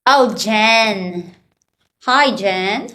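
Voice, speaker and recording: Slow-speaking woman teacher on a video call. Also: mid-range voice, expressive, bright, forceful tone. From Philippines. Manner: slow, patient prompting questions. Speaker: English teacher. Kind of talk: online class teaching children.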